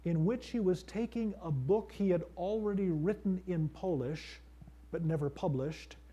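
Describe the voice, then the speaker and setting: A middle-aged male priest giving a conference lecture, measured and thoughtful, with frequent fillers and restarts. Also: a little high, mellow. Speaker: priest and seminary teacher.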